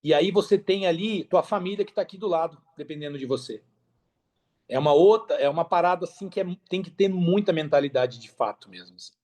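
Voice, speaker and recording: A Brazilian man with a slightly high voice talks as a guest on a podcast. His delivery is casual and emphatic, full of slang and repeated phrases for stress. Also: a little fast. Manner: emphatic, repeats phrases, slangy, animated storytelling with acted-out quotes. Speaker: podcast guest, affiliate marketer.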